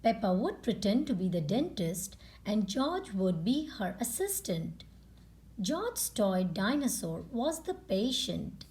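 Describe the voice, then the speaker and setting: A woman reading a children's picture book aloud in an expressive storytelling style. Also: medium pitch, slow. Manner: expressive, acts out character lines. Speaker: storytime reader and channel host. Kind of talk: children's read-aloud narration.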